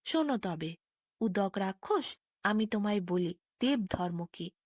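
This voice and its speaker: A woman voicing a gentle, wise young prince who speaks in an earnest, measured way, as in a storybook. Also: mid-range voice, expressive, no echo, studio quality. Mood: confident. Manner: earnest, measured moral counsel.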